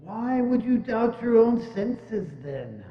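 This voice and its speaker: A man's voice: very high-pitched, big pitch swings, takes it slowly, soft background music, exaggerated voice. A man in a dramatic stage reading, playing a solemn, mournful male ghost in a declamatory manner. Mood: solemn, doomful. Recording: muffled, reverberant church hall.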